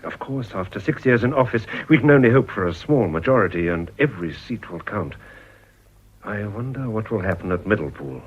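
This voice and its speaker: An actor with an English accent playing an earnest, ambitious Edwardian politician in a period radio drama. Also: male voice, lowish voice, animated, a little fast, husky. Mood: eager, confiding. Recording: dull, slightly muffled old radio recording.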